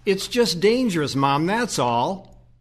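A man's voice: very animated, takes it slowly, exaggerated voice. A man playing a tired but dutiful priest son in an audio play, mild and trying to stay upbeat. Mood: worried, exasperated. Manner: mild, dutiful, low-energy.

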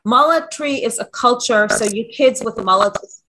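A woman's voice: fairly high pitch, normal speed, sharp tone, slight background noise, rough recording. A woman hosting a podcast, rambling enthusiastically through her stories in casual chat.

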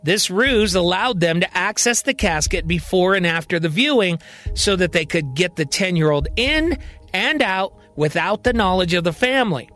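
A man's voice: high voice, animated, normal speed, fairly bright tone, soft background music. A man narrating a scripted audio fiction serial as its storyteller.

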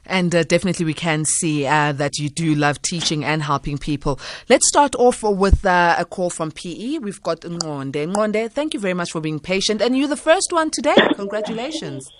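A woman's voice: fairly low pitch, lively intonation, moderate pace, few pauses. A woman hosting a late-night radio talk show, upbeat and reeling off call-in numbers. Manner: upbeat, reels off phone numbers. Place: radio studio.

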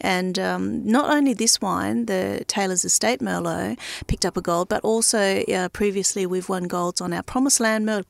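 A woman's voice: medium pitch, moderate pace, creaky, hardly any pauses, dry recording. A woman with an Australian accent, a winery brand ambassador, explaining wine chattily with many fillers on a podcast. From South Australia. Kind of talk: casual podcast chat.

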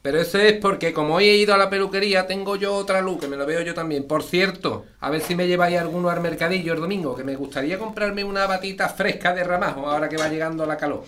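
An actress playing a sharp-tongued old Andalusian grandmother with a folksy, teasing way of talking. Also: lowish voice, slight background noise, natural voice. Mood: grumbling. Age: older. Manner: folksy, quick-witted, teasing. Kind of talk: comic radio-style family sitcom.

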